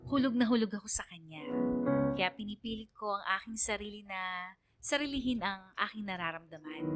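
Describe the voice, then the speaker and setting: A woman's voice: medium pitch, close to an everyday voice. A woman acting an earnest young woman who confides her love story in scripted radio narration, sincere and confessional. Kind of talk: scripted first-person radio letter narration.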